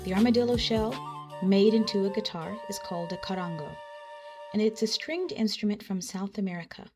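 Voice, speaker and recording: A woman storyteller narrating a children's folktale in an expressive style. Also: medium pitch, a little fast. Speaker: storyteller and host. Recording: music behind the voice.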